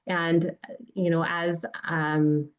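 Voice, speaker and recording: A woman's voice: low voice, level tone, very slow. A woman hosting a podcast interview, speaking with effusive praise for her guest. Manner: warm, effusive praise, faith-centered. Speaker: podcast host. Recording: dull lo-fi sound.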